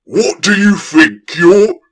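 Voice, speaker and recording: A man voicing a bullying orc warlord, loud, brusque and confrontational, in a fantasy audiobook. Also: high voice, expressive, slow, pitched above the performer's own voice, full character voice. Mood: irritated.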